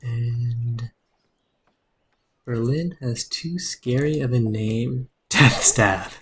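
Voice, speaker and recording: Man, mid-pitched, soothing voice; calm live game streamer explaining moves, keyboard clicks behind. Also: very slow, slight background noise.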